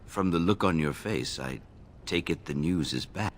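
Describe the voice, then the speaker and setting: Very deep-voiced courteous nobleman. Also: expressive, a little hiss or hum. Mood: courteous, sombre. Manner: measured, formal. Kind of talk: video game character acting.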